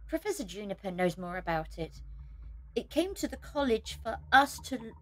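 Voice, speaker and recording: A woman playing a friendly, cautious young college student in an audio drama, gentle and sympathetic. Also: medium pitch, very animated, moderate pace. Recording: noisy recording with clicks.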